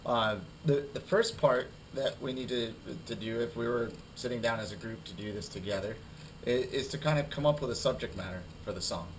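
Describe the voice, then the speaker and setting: A young adult man with a cold giving a recorded class presentation, halting and full of fillers and repeated words. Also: medium pitch, slight background noise, rough recording. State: has a cold, losing his voice. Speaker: student presenter.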